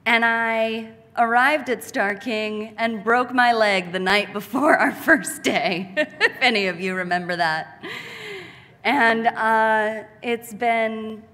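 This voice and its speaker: A woman in her thirties speaks at a podium in a large church sanctuary, delivering a commencement speech in a slow, deliberate and heartfelt way. Her voice is bright and forward. The podium mic carries a long, lingering hall reverb. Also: a little high. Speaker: graduating student speaker. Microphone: podium mic, far camera.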